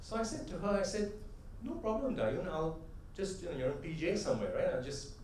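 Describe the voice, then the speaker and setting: A man's voice: high voice, big pitch swings, brisk, darkish tone, a little hiss or hum. Middle-aged man speaking in an echoey hall. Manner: conversational storyteller, often tags "right?"; builds to rhythmic, emphatic punchlines. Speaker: convention speaker. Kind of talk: motivational conference talk with anecdotes. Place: large convention hall. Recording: echoey hall, voice from a distant mic.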